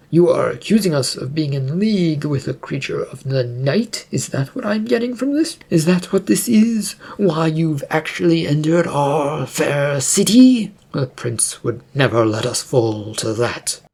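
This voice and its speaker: Narrator voicing a slow, stern orc captain. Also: medium pitch, expressive, creaky, darkish tone, husky, voice pushed up, heavily acted. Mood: indignant. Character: large tusked orcish male captain, stern, gruff, loyal.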